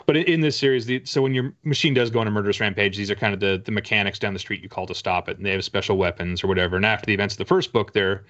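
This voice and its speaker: A middle-aged man with a fairly low voice, chatting as a guest on a video call. He is wry and a little hesitant as he explains things. The sound is somewhat dull and lo-fi. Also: racing, hardly any pauses, a bit bright. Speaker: guest, author. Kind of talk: casual interview talk. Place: book-lined room at home.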